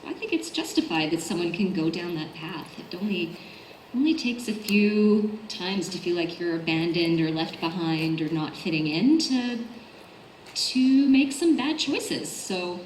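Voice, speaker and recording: A woman's voice: fairly low pitch, animated, measured pace, darkish tone. A woman speaking as a guest on a convention panel, casual, conversational and reflective. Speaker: voice actor on a panel. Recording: echoey convention room, faint noise.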